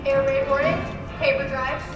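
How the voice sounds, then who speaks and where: A woman's voice: high voice, flat delivery, moderate pace, lightly acted. A young woman acting as the storytelling heavenly narrator of an old-fashioned radio play, reading scripted narration. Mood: solemn, storytelling. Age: young adult. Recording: echoey live stage, distant mic, music behind.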